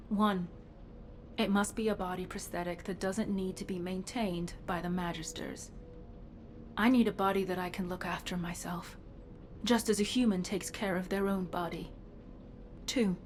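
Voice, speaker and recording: A woman plays a firm, guarded female cyborg warrior in game dialogue. She speaks seriously and with measured resolve at a medium pitch, with a faint rumbling noise behind her. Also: close to an everyday voice. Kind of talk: dramatic video game dialogue.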